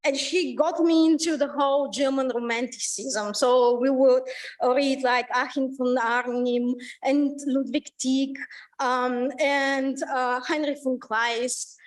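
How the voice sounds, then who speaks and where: A middle-aged woman with a Bulgarian accent giving a public lecture, chatty and full of fillers and lively asides. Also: high voice, slow pace. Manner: conversational, many fillers, animated asides. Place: lecture room. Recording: voice from far off the mic.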